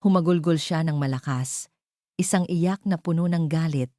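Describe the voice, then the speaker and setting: A woman's voice: sits low, moderate pace, dark tone, dry recording, clean studio sound. A woman reading a scripted dramatic story as its narrator.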